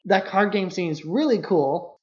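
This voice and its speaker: A man's voice: sits high, animated, normal speed. Casual critical man, slightly lo-fi sound. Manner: analytical, critical, says "like" often. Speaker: podcast co-host. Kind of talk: casual film discussion. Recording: slightly dull lo-fi podcast audio.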